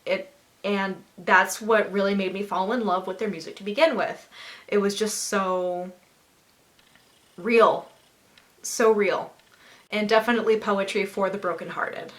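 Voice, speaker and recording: A young woman with a slight lisp reviewing a goth album in a casual, bubbly and dramatic way, a little sniffly and rough from allergies. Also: mid-range voice, a little slow, long pauses. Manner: bubbly and dramatic, squeals with excitement.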